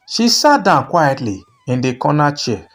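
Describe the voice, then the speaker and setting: Animated storyteller over music. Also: medium pitch, unhurried. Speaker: storyteller, narrator. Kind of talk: dramatic scripted folktale narration.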